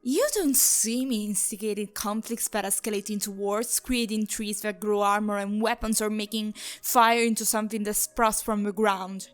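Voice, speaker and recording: A woman's voice: medium pitch, fairly even intonation, moderate pace, hardly any pauses, dry recording, faint music behind, lightly acted. A woman acting an indignant, territorial nature goddess in a fantasy audio drama, scornful and complaining.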